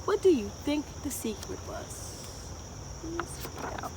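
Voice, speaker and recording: A middle-aged woman reading a picture book aloud to children, expressive and deliberate, often putting questions to her listeners. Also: high-pitched. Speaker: children's storyteller. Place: outdoors in a wooded garden. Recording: outdoor, distant mic, ambient insect noise. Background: insects and outdoor ambience.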